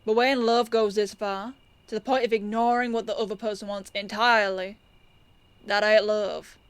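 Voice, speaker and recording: A woman playing a composed police detective in an audio roleplay, firm yet kindly, with a measured, folksy, knowing delivery. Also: mid-range voice, fairly even intonation, clear, resonant tone, no room sound, lightly acted. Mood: soft, consoling.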